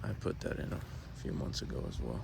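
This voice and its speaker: A man from Miami, born in Cuba, talking casually and conversationally as he gives an unscripted tour outdoors in his backyard. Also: quick pace. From born in Cuba, raised in Miami. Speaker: host giving a tour of home fruit garden. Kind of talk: casual unscripted vlog narration. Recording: noisy outdoor recording with ambient sound.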